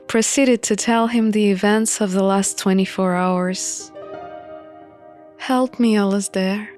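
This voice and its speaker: A woman narrating a visual novel in an expressive, measured storybook style. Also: mid-range voice. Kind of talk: expressive storybook-like reading of game text. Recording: music behind the voice. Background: music.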